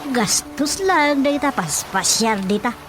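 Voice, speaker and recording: A woman's voice: on the high side, lively intonation, takes it slowly, over music. A woman with an Ilocano accent playing a thrifty, fretful mother in a comedic radio drama, fussing and exclaiming.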